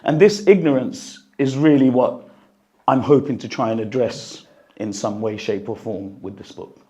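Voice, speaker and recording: A man with a croaky, strained voice speaks to an audience at a book launch, then reads an excerpt, earnest and reflective, with the odd cough. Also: mid-range voice, moderate pace, warm tone, highs cut off. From United Kingdom. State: croaky voice, recovering from losing it. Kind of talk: talk and reading from his book. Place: hall at a gathering, in front of an audience. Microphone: handheld microphone.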